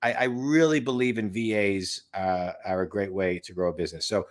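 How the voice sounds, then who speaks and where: A man's voice: sits low, a little fast. A man in his late forties hosting an interview over a video call from a home office, talking in an emphatic, rambling way and repeating his points. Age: 40s. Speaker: podcast host and business coach. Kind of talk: casual interview chat.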